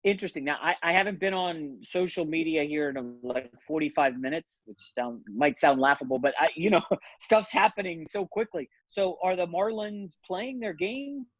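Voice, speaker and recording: A man's voice: a little high, moderate pace, fairly bright tone, dry recording, dull audio. A man giving blunt, casual sports and betting analysis as an interview guest, speaking conversationally.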